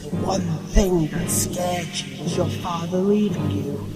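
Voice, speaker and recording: An actor playing a cruel, mocking voice of conscience in a horror audio drama, menacing and sometimes whispering. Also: male voice, high voice, unhurried. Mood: cruel taunting. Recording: loud music under the voice.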